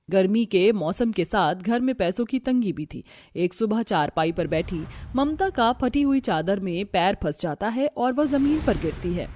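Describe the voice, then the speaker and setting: A woman's voice: mid-range voice, darkish tone. A woman narrating a scripted cartoon family story.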